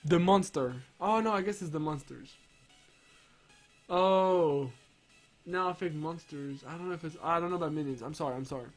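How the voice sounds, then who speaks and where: Young guy kinda sick, higher voice, rambly and hyped about a game patch, soft music behind. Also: moderate pace. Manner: rambling, excitable, many restarts and fillers. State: sick, voice strained. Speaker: gaming streamer. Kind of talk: casual patch-notes commentary.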